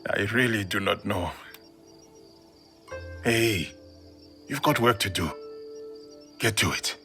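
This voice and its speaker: Steady loyal warrior buddy in a game, deep-ish, calm, reassuring, music pretty loud behind. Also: male voice, slow pace, heavily acted. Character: steady, loyal male warrior ally, gently encouraging. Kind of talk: dramatic video game cutscene acting. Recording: prominent music behind dialogue.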